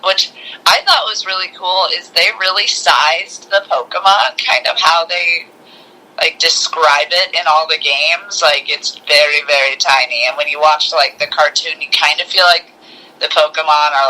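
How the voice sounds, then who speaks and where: A woman co-hosting a podcast over an internet call, chatting about a film in an informal, halting way. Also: low-pitched, bright, forceful tone, poor audio. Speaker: podcast co-host. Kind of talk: casual film review chat. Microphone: remote internet call.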